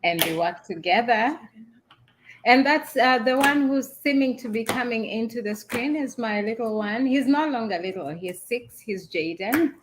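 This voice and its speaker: A woman's voice: on the high side, expressive, moderate pace, a little hiss or hum, rough recording. A woman from Kenya, a small business founder, telling her story in a casual video call chat and laughing often.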